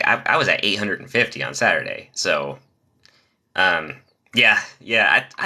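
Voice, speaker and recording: A young man reading joke after joke on a live stream, pitching his voice low from the diaphragm to spare his nasal voice. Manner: rapid-fire joke delivery, lively.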